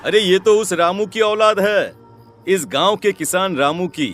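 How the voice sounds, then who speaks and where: A man as high-pitched, sinister possessed village farmer. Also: measured pace, fairly bright tone, faint music behind. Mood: menacing rage. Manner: menacing, theatrical, emphatic. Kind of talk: Hindi horror cartoon story acting.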